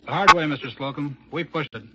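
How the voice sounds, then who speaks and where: A young American man, a military test pilot, answering a 1940s radio interviewer in a deliberate, deadpan way. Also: a little high. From Chicago, United States. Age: young adult. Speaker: Air Force test pilot, captain. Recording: muffled, noisy 1940s radio broadcast.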